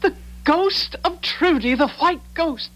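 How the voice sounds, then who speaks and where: An actress playing a fragile, earnest and insistent wife in a 1970s radio drama, halting and pleading. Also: female voice, very high-pitched, lively intonation, a bit bright, heavily acted.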